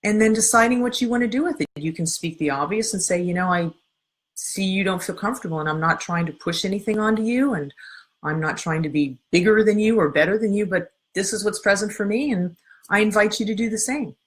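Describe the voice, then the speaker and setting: A woman coaching an audience live, explaining her steps calmly and earnestly. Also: mid-range voice, brisk. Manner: strong voice. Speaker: coach and workshop leader. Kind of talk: live-streamed talk answering viewer comments.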